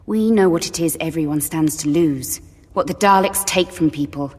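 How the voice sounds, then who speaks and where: A woman playing a resolute, weary soldier in an audio drama, with a measured, guarded manner. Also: low-pitched, darkish tone, breathy edge, in a large hall.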